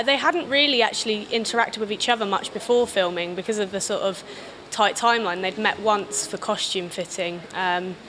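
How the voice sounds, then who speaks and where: A woman's voice: medium pitch, fairly bright tone. A British woman giving casual interview answers as a film director, in a hesitant, rambling way. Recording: clear background noise.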